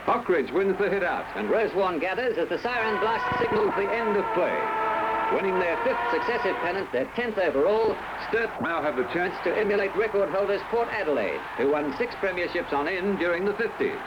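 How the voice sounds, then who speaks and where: A man with an Australian accent narrating a scripted 1970s sports newsreel in formal, measured phrasing. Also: high voice, lively intonation. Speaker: newsreel commentator. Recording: dull, noisy old newsreel soundtrack.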